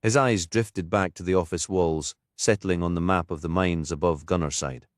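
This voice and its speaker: A man narrates a crime mystery audiobook from a script. His voice sits low, and the recording is very dry with no room sound. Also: fairly even intonation, normal speed, no echo.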